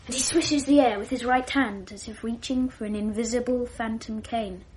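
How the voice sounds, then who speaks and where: A woman's voice: on the high side, fairly even intonation, normal speed, a little mellow, natural voice. A woman playing a precocious English schoolboy. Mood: reading aloud. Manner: precocious, wordy and self-deprecating. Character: clever, self-assured twelve-year-old English schoolboy. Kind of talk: 1980s radio drama acting.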